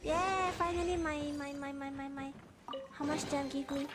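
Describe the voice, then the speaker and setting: A woman's voice: high-pitched, steady tone, normal speed, heavy background noise. A woman with a Malaysian accent giving casual commentary on a game livestream. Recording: game music behind voice. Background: game music and dialogue.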